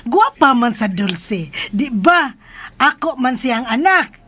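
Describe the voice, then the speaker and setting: A simple-minded mother in a radio drama, vain and childish. Her voice is high and whiny, and she drags her words out slowly. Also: sing-song, a bit bright, breathy edge, heavily acted. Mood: giddy, vain. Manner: childish, whining, drawn out.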